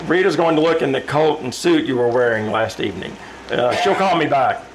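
A man's voice: mid-range voice, lively intonation, a little fast, noisy background, natural voice. A man playing a concerned, insistent office colleague in an old-time radio drama, emphatic and urgent.

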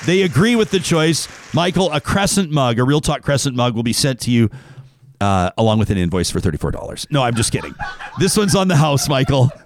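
A man's voice: medium pitch, sing-song, brisk, slight background noise. A man hosting a talk radio show, reading ads and a listener's email in a studio in an upbeat, conversational way. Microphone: studio microphone.

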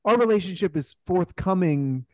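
A man co-hosting a casual chat, joking in animated, stop-start phrases. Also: sits high, moderate pace, noisy background. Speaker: podcast co-host. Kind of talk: casual banter. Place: remote video call.

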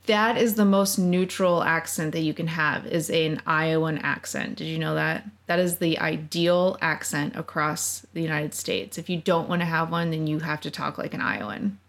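A woman in her thirties co-hosting a podcast, chatty and teasing, quick to laugh. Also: deep voice. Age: 30s. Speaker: podcast co-host. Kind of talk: casual chat.

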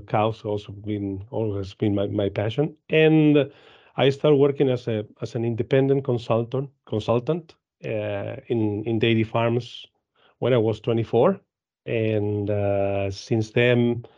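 A man in his fifties from Argentina with an Argentine Spanish accent, answering interview questions in halting English with many repeats and fillers. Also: on the low side, mellow, muffled recording. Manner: halting, frequent repeats and "uh", laughs easily. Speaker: guest, dairy genetics business director.

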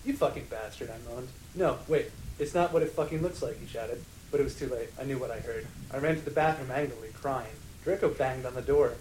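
A man's voice: mid-range voice, fairly quick, few pauses, darkish tone, a little hiss or hum, rough recording. A man co-hosting a podcast, giving a mock-serious, theatrical comic reading of fan fiction. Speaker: podcast co-host.

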